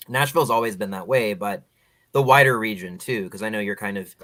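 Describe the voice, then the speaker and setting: A man's voice: lowish voice, fairly quick, a bit bright, no room sound. A man hosting a podcast, talking with guests in a casual, conversational interview style.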